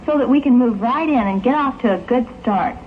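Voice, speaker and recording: A woman's voice: on the high side, a little fast, narrow, tinny audio, natural voice. A woman playing a sociable, eager young bride-to-be in a 1960s audio drama. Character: house-proud, planning young female bride-to-be. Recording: thin old tape with hiss.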